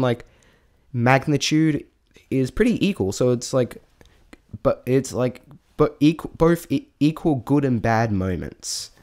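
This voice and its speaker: A man's voice: mid-range voice, a little mellow. A man giving a casual, unscripted spoken review, rambling and thinking aloud in a self-deprecating way. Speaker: amateur vlog reviewer.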